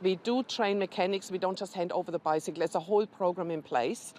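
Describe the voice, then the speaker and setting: A woman representing a charity, a guest in an interview, explaining the work in a steady, factual manner. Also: fairly low pitch, quick pace, slight background noise. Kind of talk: informative interview answers.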